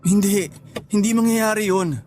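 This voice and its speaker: A man's voice: high-pitched, steady tone, moderate pace, dark tone, natural voice. A man acting a remorseful young boyfriend in a Tagalog radio drama, apologetic, subdued and terse. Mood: pleading. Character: remorseful, sheepish young boyfriend pleading for forgiveness.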